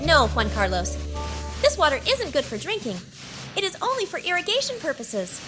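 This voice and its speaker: A woman's voice: high voice, wildly swooping pitch, moderate pace, over music. A woman voicing a tiny, friendly female water-drop puppet who cheerily explains things on a puppet show. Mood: friendly, matter-of-fact. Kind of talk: children's puppet show interview.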